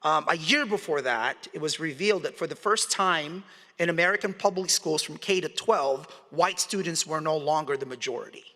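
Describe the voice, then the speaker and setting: Bright-voiced man speaking in a reverberant hall. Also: on the high side, normal speed. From Philippines. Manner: conversational, asks the audience questions, chuckles often. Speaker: guest speaker. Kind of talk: public lecture. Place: large hall.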